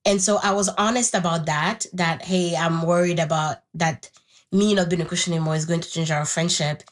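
Interview guest: Haitian-born woman in her twenties, Haitian Creole native, lowish voice, reflective and halting delivery. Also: steady tone. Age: 20s. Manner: thoughtful, pausing, with restarts. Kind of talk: reflective personal conversation.

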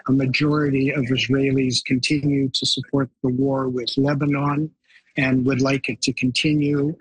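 A man speaking as a guest commentator on a discussion show, measured and contrarian, with many fillers. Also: mid-range voice, slightly rough, no echo, poor audio. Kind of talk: panel discussion.

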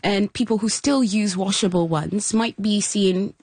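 A woman's voice: mid-range voice, measured pace, darkish tone, dry recording. A woman with a Kenyan accent presenting a programme, moving between scripted links and studio conversation. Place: radio studio.

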